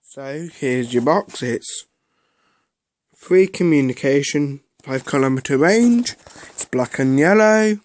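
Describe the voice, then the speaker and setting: A man giving a casual, unscripted product review in a halting way, often repeating himself. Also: a little high, lively intonation, no room sound.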